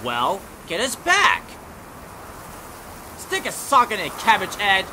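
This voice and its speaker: A man's voice: high-pitched, huge pitch swings, slow, heavily acted. A man voicing a greedy, pompous, hot-tempered little cartoon creature in a melodramatic, indignant amateur character demo. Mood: irritated scheming. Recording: clear background wind and noise.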